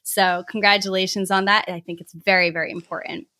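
Bright-voiced woman podcast host. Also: medium pitch, flat delivery, a little fast. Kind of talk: interview host.

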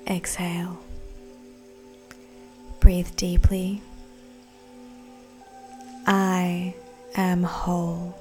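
Slow, hushed woman guiding a meditation. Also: on the low side, monotone. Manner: soothing, deliberate, breathy and often near-whispered. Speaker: meditation guide. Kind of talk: guided meditation narration. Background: soft background music.

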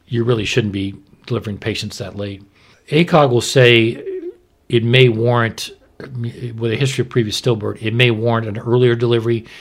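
Husky low-voiced man explaining medicine. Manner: informal, plain-spoken, instructive. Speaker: obstetrician, expert guest.

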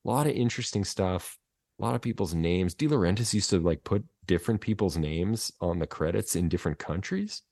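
A man's voice: low voice, lively intonation, fairly quick, a little mellow, no room sound, clean studio sound. A man co-hosting a podcast, chatting about films in a rambling, colloquial way. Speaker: podcast co-host. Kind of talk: casual film chat.

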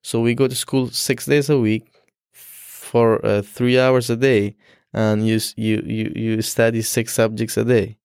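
A young man from Afghanistan whose first language is Dari Persian, speaking as a student guest in an interview, halting with frequent restarts and fillers. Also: mid-range voice, level tone, vocal fry, darkish tone, clear, resonant tone, no room sound, studio quality. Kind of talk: casual interview.

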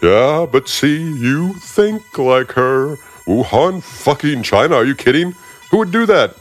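A smug, bombastic agent with a grating, nasal, drawn-out voice pitched well above the narrator's own, as a man puts him on. His lines swing widely in pitch, and soft music plays behind. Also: animated. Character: smug, aggravating male federal agent, bombastic.

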